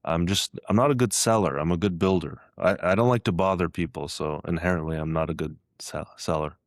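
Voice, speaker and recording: A man's voice: low voice, a little fast, no echo, clean studio sound. A man talking as a podcast guest in a casual conversation, hesitant, with many fillers and restarts. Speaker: guest, iOS app developer.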